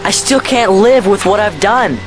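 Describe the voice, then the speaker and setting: A man's voice: high voice, lively intonation, a little slow, over music. A performer tagged male playing a troubled, defiant, guilt-ridden young boy, stubborn and earnest, in an audio drama. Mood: guilty, hesitant. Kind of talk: fan audio drama acting.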